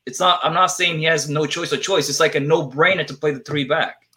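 Excitable man with a foreign accent. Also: a little high, a little fast. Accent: non-native English accent. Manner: excitable, stumbling restarts, swears. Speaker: podcast panelist. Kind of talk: casual sports chat.

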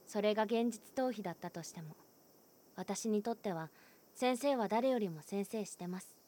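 A woman's voice: mid-range voice, moderate pace, natural voice. An actress voicing a frank, cheerful teenage schoolgirl who talks candidly and teases a little. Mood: candid, teasing. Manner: candid, gently teasing. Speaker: student. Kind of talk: voice drama acting.

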